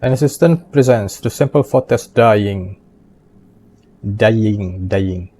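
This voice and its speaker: A man's voice: fairly low pitch, slow pace, warm tone, a little hiss or hum. A man streaming a game with casual commentary, reading prompts aloud and often repeating words. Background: game music.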